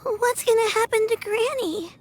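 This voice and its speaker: Very high, plaintive little child. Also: female voice, normal speed. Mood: worried. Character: small, innocent, clinging grandchild of an old granny. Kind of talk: scripted game voice acting.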